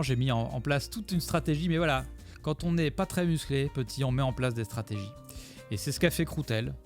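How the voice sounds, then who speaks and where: A man's voice: medium pitch, vocal fry. Rambling jokey man streaming live. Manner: rambling, jokey, thanks followers. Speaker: streamer commenting his own video. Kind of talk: live commentary to chat.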